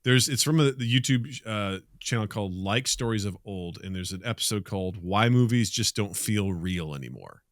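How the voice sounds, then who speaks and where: A man co-hosting a podcast in casual, animated conversation, speaking bluntly and swearing freely. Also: lowish voice, moderate pace, few pauses, dry recording, clean studio sound. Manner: blunt and profane. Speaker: podcast co-host.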